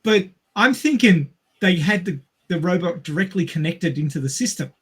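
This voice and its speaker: A man's voice: high voice, lively intonation, normal speed, a bit bright, husky. A man co-hosting a casual movie discussion. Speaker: podcast co-host.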